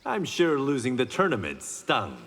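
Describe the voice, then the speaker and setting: A man's voice: mid-range voice, huge pitch swings, moderate pace, a bit bright, long reverb tail, exaggerated voice. An actor playing a stoic blind swordsman hero in a video game, with dry, confident wit. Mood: wry.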